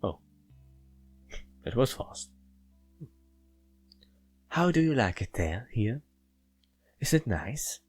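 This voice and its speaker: A man voicing a friendly, cheerful young female coworker in a visual novel, chirpy and gently teasing. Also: mid-range voice, very animated, slow, pauses often, husky, soft background music, voice pushed up, heavily acted. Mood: friendly, inviting.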